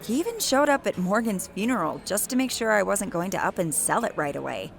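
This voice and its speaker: A woman's voice: medium pitch, sing-song, fairly quick, gravelly. A woman playing a guarded, sarcastic young woman in a naturalistic audio drama, speaking dryly and a little hesitantly. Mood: casual. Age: young adult. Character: wry, guarded young woman grieving her uncle. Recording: music bed behind the voice.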